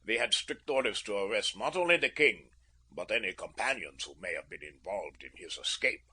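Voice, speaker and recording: A man reading an audiobook, voicing a polite, ironic army lieutenant in a measured, slightly lower voice. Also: expressive, sharp tone, husky, heavily acted. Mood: courteous.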